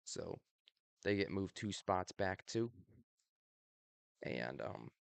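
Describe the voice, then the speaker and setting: A young man casually giving his predictions for a video, slightly raspy from allergies, with lots of ums. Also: low voice, slow, no room sound. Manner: relaxed, many ums, laughs at himself. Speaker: video host.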